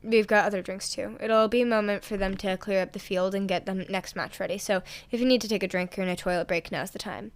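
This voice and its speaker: A woman voicing a weary, stern man, a hero teacher, in a low-key, flat manner for a story reading. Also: fast talker, hardly any pauses, natural voice. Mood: weary, practical.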